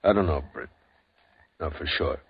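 Old ex-sheriff, father, very low voice, husky, drawling, muffled old radio sound. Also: moderate pace, full character voice. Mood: troubled. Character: aging former sheriff, guilt-ridden father. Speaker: former sheriff. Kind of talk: 1950s radio drama acting. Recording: dull old radio broadcast.

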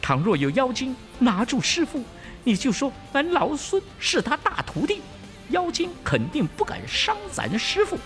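A brash, hot-tempered monkey hero. The voice is very high, excitable and loud, swooping defiantly over a music bed in a storybook tale. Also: male voice, normal speed, long reverb tail, full character voice. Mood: earnest. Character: brash, cocky, quick-tempered male monkey warrior.

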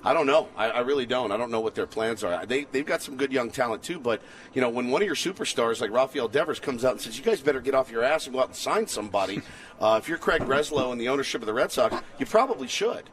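A man's voice: mid-range voice, expressive, fast talker. A man co-hosting casual sports talk, giving opinions emphatically and repeating short phrases for weight. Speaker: sports radio co-host. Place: outdoor patio at a ballpark complex. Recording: clear ambient background noise.

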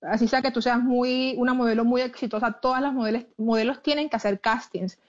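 Colombian coastal woman, high voice. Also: fairly quick, highs cut off. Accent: Colombian Caribbean coast. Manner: animated, frequent restarts and repetitions, mixes in English words. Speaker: plus-size model, guest. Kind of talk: interview, telling her story. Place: her home in the Netherlands.

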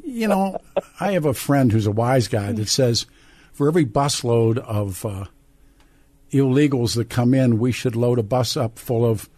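Older male call-in radio host, opinionated and blunt, medium pitch, very dry studio mic. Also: lively intonation, measured pace, a little mellow, no echo. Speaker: radio talk show host. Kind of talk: live call-in talk radio.

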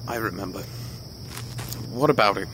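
An actor playing a hurt young ex-boyfriend in an audio drama, sardonic, clipped and dismissive. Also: male voice, fairly low pitch, normal speed, bright, forceful tone. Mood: sarcastic. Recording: noisy, night insect ambience behind.

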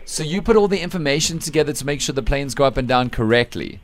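A man's voice: mid-range voice, expressive, fast. A South African man hosting a radio show, interviewing in a chatty, informal and enthusiastic way. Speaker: radio breakfast show host. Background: faint background music.

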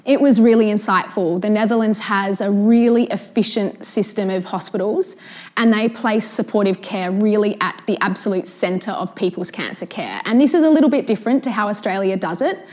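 An articulate woman explains her research as a guest on a podcast. Her pitch sits in the middle range. She speaks assuredly, in full and well-formed sentences. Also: normal speed, darkish tone. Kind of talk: podcast interview.

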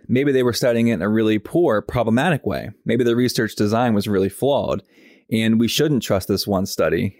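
Low-voiced man hosting, dry sound. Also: a little mellow, no room sound. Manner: reflective, talks through ideas with restarts. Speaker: host. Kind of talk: discussion of science.

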